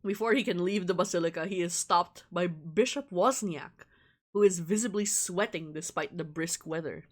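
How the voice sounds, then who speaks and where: A woman's voice: on the low side, normal speed. A woman livestreaming a visual novel, reading game text aloud and reacting with giggly, irreverent, slangy asides. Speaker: streamer playing a visual novel.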